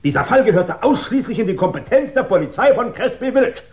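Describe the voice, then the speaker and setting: A pompous village police official in a sixties German radio play. The voice is raised and strongly swinging in pitch, formal and full of offence. The recording is muffled. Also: male voice, very animated, fast talker. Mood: indignant. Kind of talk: 1960s German crime radio play acting.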